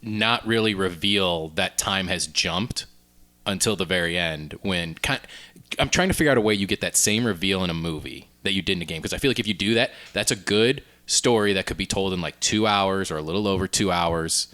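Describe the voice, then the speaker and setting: A man with a fairly low, bright voice co-hosts a podcast. He chats casually, thinking his ideas through aloud in an easy conversational style. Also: brisk. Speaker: podcast co-host.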